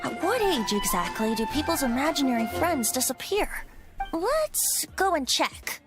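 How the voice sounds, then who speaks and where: A whimsical, curious young bard in an anime game. The voice is light, high and boyish, lilting widely as it muses playfully. Music runs behind it. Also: female voice, very animated, moderate pace. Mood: musing, playful. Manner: musing, playful. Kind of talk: anime video game acting.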